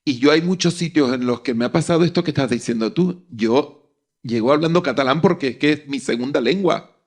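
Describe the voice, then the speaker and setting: A man's voice: mid-range voice, a little fast, a little mellow, husky. A middle-aged man with a Venezuelan accent giving his opinion conversationally, repeating phrases for emphasis.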